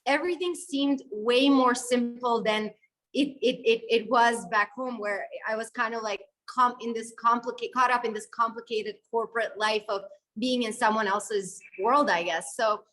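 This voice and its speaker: Bright-voiced chatty woman from Syria. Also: on the high side, normal speed, low-quality recording. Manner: animated, many fillers and restarts. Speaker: guest videographer. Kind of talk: conversational interview answer.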